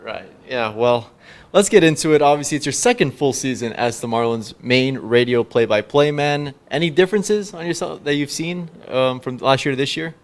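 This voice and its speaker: A man with a medium-pitched voice hosts a casual sports interview. He puts his questions politely and conversationally, in the manner of a podcast host. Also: normal speed.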